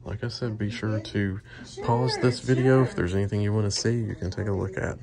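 A man's voice: fairly low pitch, fairly quick, vocal fry, a crowd in the background. A man casually narrating a walk-through of a large indoor antique mall, filmed on a handheld phone. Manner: relaxed, chatty, steady. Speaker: antique booth owner touring a mall. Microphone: handheld phone camera. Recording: shop noise behind voice. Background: shop ambience.

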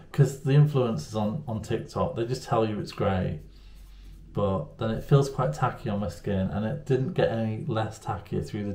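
A man's voice: fairly low pitch, vocal fry, warm tone. A man, chatting to camera at home in a rambling, self-mocking way. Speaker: vlogger. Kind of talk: casual talk to camera. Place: indoors at home, small room. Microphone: camera at arm's length. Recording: roomy echo.

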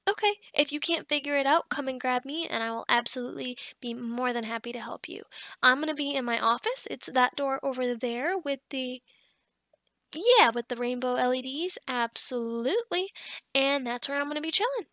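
Woman playing a friendly scatterbrained female boss, high-pitched, chatty, encouraging, ASMR roleplay, dry close sound. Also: animated, moderate pace, a bit bright, dry recording. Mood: helpful, chatty. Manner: bubbly, chatty, with giggles and hesitations. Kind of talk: ASMR roleplay acting, conversational.

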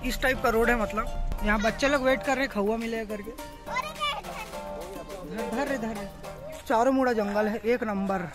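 A man's voice: very high voice, fairly even intonation, moderate pace, pauses often, over loud music. A young man, talking loosely to his camera as a travel vlogger while he rides in a car. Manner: chatty, loose, points things out while on the move. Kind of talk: casual travel vlog. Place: inside a car on a road trip.

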